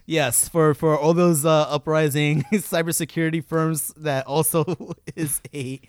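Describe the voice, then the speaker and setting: Male podcast host, clear and slightly high voice, mock-earnest comic delivery on a dry close mic. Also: flat delivery, a little slow, dry recording. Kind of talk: casual comedic podcast banter.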